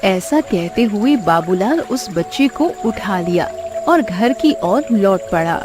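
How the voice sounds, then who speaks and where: A woman's voice: medium pitch, lively intonation, slow pace, music in the background. A woman reading scripted narration for a children's cartoon story.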